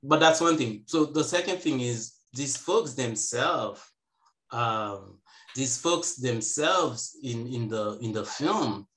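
A man in his thirties on a video call from home, speaking slowly and reflectively with frequent pauses. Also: medium pitch, expressive, a bit bright, reverberant. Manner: slow and reflective, hesitant fillers. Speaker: panelist. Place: home room. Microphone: earbuds on video call.

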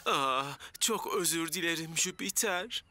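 A man's voice: fairly high pitch, lively intonation, measured pace, over loud music. Anxious, swoopy-voiced clumsy fireman. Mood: panicked, apologetic. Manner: dramatic, fretful, doting. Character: anxious, clumsy young firefighter fussing over his engine. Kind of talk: Turkish-dubbed children's cartoon acting.

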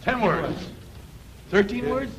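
A man's voice: very high-pitched, sing-song, slow, gravelly, a crowd in the background. A man calling out guesses in short phrases on an old TV game show. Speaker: charades panelist. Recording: very noisy old TV soundtrack.

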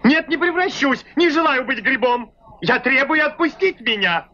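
Panicky, high-pitched captive painter. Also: male voice, lively intonation, normal speed, pushed and bright, full character voice. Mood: panicked, pleading. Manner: agitated, protesting. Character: panicky, pleading human painter held captive. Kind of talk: dubbed cartoon acting. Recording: muffled old film soundtrack with music.

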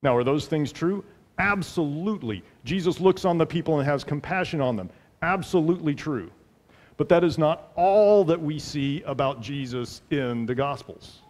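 A middle-aged male pastor preaching with earnest emphasis, his voice sitting a little high for a man. He leans on repeated phrases to drive a point home. A headset mic catches him, with a long reverb trailing off the church hall. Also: animated, normal speed, darkish tone. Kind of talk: sermon. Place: large church sanctuary, at a pulpit.

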